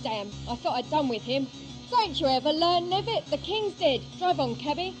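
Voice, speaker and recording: A woman playing a proud, feisty young gentlewoman in a period audio drama, clipped and formal. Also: high-pitched, lively intonation, normal speed, over music, low-quality recording. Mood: urgent, sharp.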